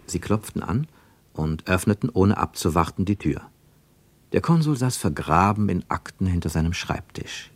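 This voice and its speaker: A man narrating a scripted radio drama in a measured, literary and dramatic manner. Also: deep voice, animated, no room sound.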